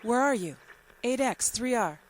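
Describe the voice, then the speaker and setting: A woman acting a lonely amateur radio operator in a radio drama, calling out deliberately for anyone listening. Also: fairly high pitch, expressive. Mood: anxious. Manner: slow, deliberate, repeated call signs. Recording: faint noise behind the transmission.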